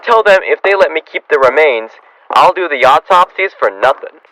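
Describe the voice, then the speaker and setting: A man's voice: a little high, expressive, moderate pace, pushed and bright, a little hiss or hum, low-quality recording. A man acting a wry, ghoulishly cheerful, money-minded frontier doctor in a radio western, chatty and drily gleeful. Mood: wryly gleeful. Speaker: actor in a student radio drama.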